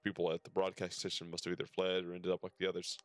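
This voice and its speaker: A man's voice: sits low, monotone, quick pace, smooth, no echo. A man streaming a game, reading its dialogue aloud with casual asides and the odd stumble over the words. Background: game music.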